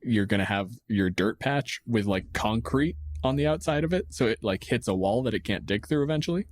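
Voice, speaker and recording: Dry, blunt man with a lowish voice. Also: animated, brisk, no echo. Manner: dry, blunt, swears casually. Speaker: podcast host answering listener questions. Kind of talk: casual chat, riffing on tabletop game monsters.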